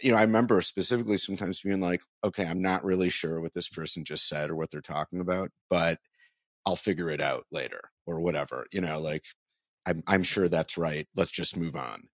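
A man's voice: low voice, brisk, no room sound, clean studio sound. A man hosting a casual interview, speaking informally and affirming what his guest says. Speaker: interview host.

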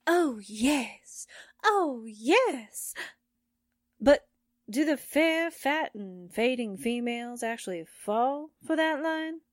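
A woman's voice: high-pitched, animated, slow, no echo, clean studio sound. A woman acting a sardonic, wisecracking sidekick to a reporter in a 1940s-style radio drama. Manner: wry, wisecracking.

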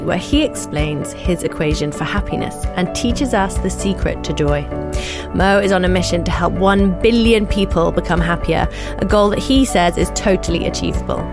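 A woman's voice: fairly low pitch, gravelly. A woman hosting a podcast, introducing and praising her guest in an effusive, admiring manner, recorded in a studio. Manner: warm, effusive, laughs easily. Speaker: podcast host. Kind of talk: podcast interview.

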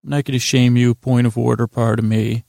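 Creaky-voiced, soothing, rambling male podcast host. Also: medium pitch, level tone, no echo, studio quality. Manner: meandering, halting, gently self-deprecating; lulling, soothing tones. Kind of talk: rambling sleep podcast monologue.

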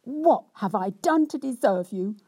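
A woman voicing a cunning, theatrical young wife who berates her husband in a loud, indignant, sweary tirade. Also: fairly high pitch, big pitch swings, moderate pace, slightly rough, no room sound, studio quality, voice pushed up. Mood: scolding.

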